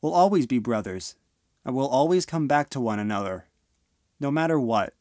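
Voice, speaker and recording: A man playing a protective teenage older brother in an audio drama, gentle, casual and earnest. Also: mid-range voice, expressive, normal speed, no room sound, clean studio sound, close to an everyday voice. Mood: gentle, reassuring.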